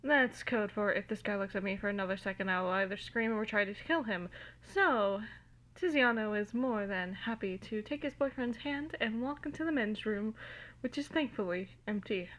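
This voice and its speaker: A woman giving an amateur, theatrical and giggly dramatic reading of fan fiction, recorded in low quality on a phone. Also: moderate pace, a bit bright, rough recording. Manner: giggly, theatrical, easily cracking up.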